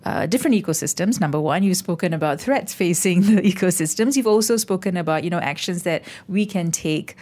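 A woman's voice: on the low side, brisk, few pauses, darkish tone. A woman hosting an interview, summing up and asking questions, with frequent encouraging backchannels. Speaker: host.

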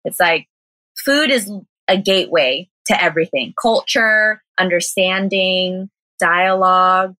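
Bright-voiced chatty American woman. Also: fairly low pitch, measured pace, dry recording. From Southern California. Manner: chatty, laughing, many "like" fillers. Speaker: guest, vegan cafe owner. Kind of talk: casual interview chat.

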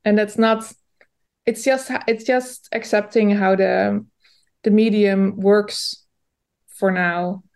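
A woman's voice: mid-range voice, fairly even intonation, slow, vocal fry, long pauses, a little mellow, clear, resonant tone. A woman co-hosting a podcast, talking in a thoughtful, hesitant way with fillers. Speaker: podcast co-host. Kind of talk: casual podcast chat.

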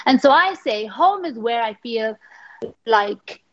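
A woman's voice: fairly high pitch, lively intonation, slow, a little hiss or hum. Chatty woman on a muffled line. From England. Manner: chatty, warm storytelling with many fillers and restarts. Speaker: radio guest. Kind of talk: casual radio chat.

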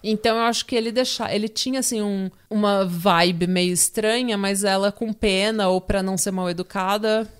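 A woman's voice: mid-range voice, steady tone, moderate pace, few pauses, smooth. A young woman with a Brazilian accent, hosting a podcast and telling a true crime story in a casual, chatty way with frequent fillers. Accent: Brazilian Portuguese. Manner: chatty storyteller, laughs often, many fillers. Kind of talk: casual chat.

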